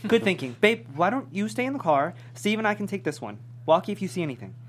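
A woman's voice: mid-range voice, big pitch swings, fast talker, slight background noise, lightly acted. A woman playing an earnest, goofy, upbeat husband and schemer in a comedy script table read. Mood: reassuring.

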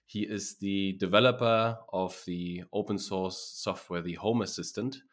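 A man's voice: sits low, fairly bright tone. A man hosting a podcast, opening the show and introducing his guest with upbeat energy. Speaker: podcast host.

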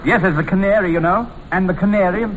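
A man's voice: high voice, fast talker, a bit bright, a little hiss or hum. An actor playing a silly, dithering, dim male panelist in an old radio comedy. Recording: dull, echoey old radio recording.

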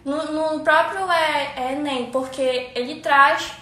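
High-voiced teacher from Pará, echoey room. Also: female voice, steady tone, slow pace, pushed and bright, a little hiss or hum. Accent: Paraense Brazilian Portuguese. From Pará, Brazil. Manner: hesitant, restarts often, explanatory. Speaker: language teacher. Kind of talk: educational panel discussion.

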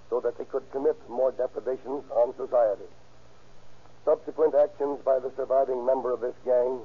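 A man's voice: mid-range voice, slightly rough. A man giving a stern, formal scripted address in 1930s radio style, on a tinny old recording. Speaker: guest commentator giving a closing moral.

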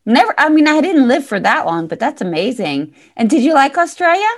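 A middle-aged woman hosting a podcast interview, eager and chatty with quick interjections. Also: high voice, very animated. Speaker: podcast host, modeling scout.

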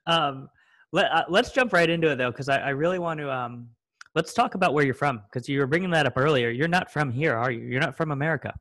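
A man's voice: fairly high pitch, fast, a bit bright, dry recording. A man in his thirties hosting a podcast, talking in a loose, joking way with many fillers. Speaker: podcast host. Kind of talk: casual podcast chat.

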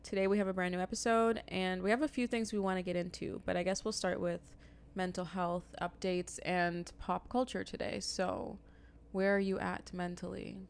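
A woman's voice: on the low side, little pitch movement, normal speed, vocal fry, clean tone. A woman co-hosting a casual podcast chat, direct and teasing, sometimes reflective. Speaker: podcast co-host.

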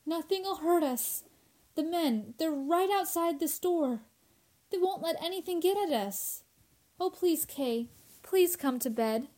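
A woman's voice: very high-pitched, expressive, slow, mellow, exaggerated voice. A woman playing a frightened, fragile young woman in a radio horror drama, anxious and devoted, pleading breathlessly and repeating herself. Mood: soothing, pleading.

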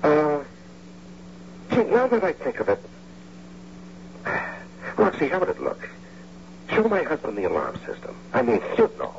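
An actor playing a scheming, smooth-talking husband in an old-time radio drama, with a coaxing manner. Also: male voice, on the high side, huge pitch swings, unhurried, long pauses, slight background noise, full character voice. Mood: hesitant coaxing. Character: scheming smooth-talking husband, engineer turned house-husband bank robber. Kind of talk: old-time radio drama acting with first-person narration. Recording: dull, humming old radio recording.

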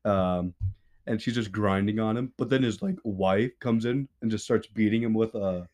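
A young man with a deep voice that often cracks, joining crude, laughing banter on a podcast. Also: normal speed, darkish tone. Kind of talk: casual chat.

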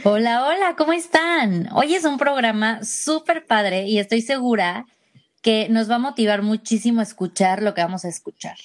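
A woman's voice: a little high, normal speed. A woman hosting a podcast for mothers, welcoming and introducing her guest in an upbeat, friendly way. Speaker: podcast host.

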